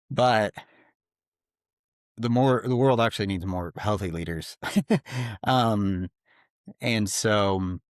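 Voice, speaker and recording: Man in his thirties, very low voice, dry close sound, earnest, uses lots of ums. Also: no room sound. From grew up in Slovakia. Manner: reflective, earnest, many fillers. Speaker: podcast guest. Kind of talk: casual interview talk. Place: home office.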